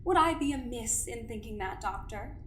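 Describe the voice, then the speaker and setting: A woman's voice: on the high side, animated, normal speed, fairly bright tone, echoey room, slight background noise. Dr. Calvin, a silky manipulative doctor, voiced by a woman. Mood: coolly probing. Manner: silky, condescending, probing. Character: smooth, manipulative female doctor interrogating coldly. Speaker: doctor and investigator. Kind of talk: audio drama acting.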